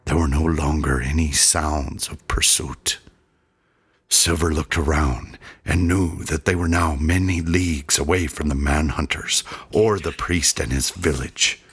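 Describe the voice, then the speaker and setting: A man narrating a fantasy audiobook in a measured, dramatic way that can fall toward a breathy hush. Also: very deep voice, steady tone.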